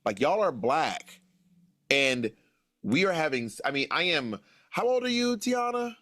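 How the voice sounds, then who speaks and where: A man's voice: a little high, big pitch swings, a little slow, bright, forceful tone. An American man in his late thirties, an author answering in an interview with an earnest, musing manner and repeated phrases for emphasis. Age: 30s. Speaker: author guest.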